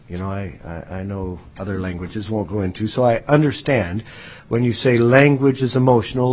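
A man's voice: fairly low pitch, slightly rough. A legislator at a hearing, a man who questions a witness with frequent restarts and repeated words. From United States. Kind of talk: formal hearing testimony.

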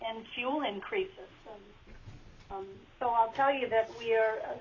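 A woman's voice: fairly high pitch, slow. A woman school board member giving testimony at a legislative hearing, plain and hesitant with many ums. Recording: lo-fi teleconference line with faint hiss.

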